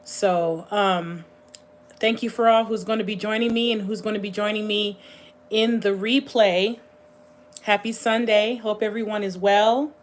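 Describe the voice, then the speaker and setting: A woman hosting a casual livestream, chatting in a rambling, meandering way, her voice slightly hoarse and going scratchy. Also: medium pitch, fairly even intonation. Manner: rambling, chatty, thinks aloud with frequent pauses. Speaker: livestream host.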